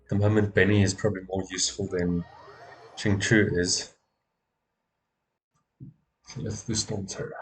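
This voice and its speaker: A man's voice: low voice, flat delivery, unhurried, pauses often, slight background noise, poor audio. A man giving casual live gameplay commentary, muttering to himself and often repeating words. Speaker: game streamer. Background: game music.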